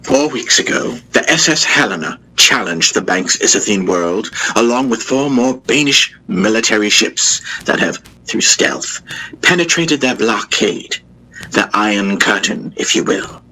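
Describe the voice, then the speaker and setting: A man's voice: fairly low pitch, animated, bright, forceful tone, a little hiss or hum, poor audio. A man voicing a solemn, resolute queen giving a formal, oratorical wartime address in an audio drama. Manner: deliberate, oratorical, with heavy pauses. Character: solemn, resolute queen addressing her war-weary people.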